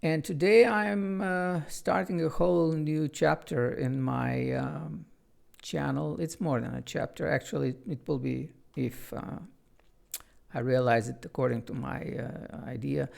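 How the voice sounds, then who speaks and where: A man's voice: fairly high pitch, steady tone, unhurried, gravelly, a little mellow. A man from Bulgaria with a Bulgarian accent, chatting earnestly and then reading his own children's book aloud. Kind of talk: chatty intro, then storybook read-aloud.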